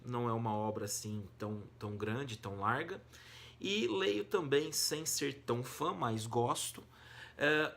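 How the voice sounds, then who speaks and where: Brazilian man teaching with emphatic, colloquial delivery. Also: medium pitch. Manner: emphatic, colloquial, rhetorical questions, swinging between stressed and hushed phrases. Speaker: humanities teacher and exam-prep host. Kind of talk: informal educational monologue to students.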